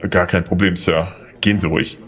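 A man's voice: deep voice, steady tone, brisk, fairly bright tone. A man playing a harried young lawyer in an audio drama, earnest and easily flustered. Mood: apologetic, polite. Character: harried, polite but indignant young male lawyer.

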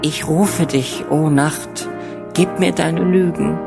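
A woman's voice: deep voice, fairly even intonation, takes it slowly, gravelly. A woman reciting poetic text as a narrator in an audio drama, in a declamatory style. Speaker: narrator of the prologue and epilogue text. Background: piano music.

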